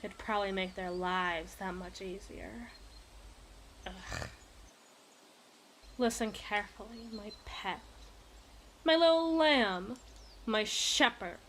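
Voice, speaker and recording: A woman's voice: mid-range voice, slow, long pauses, fairly bright tone, slight background noise. A woman playing a possessive, imperious evil queen in an ASMR roleplay, speaking in formal, teasing, theatrical diction. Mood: intimate, menacing.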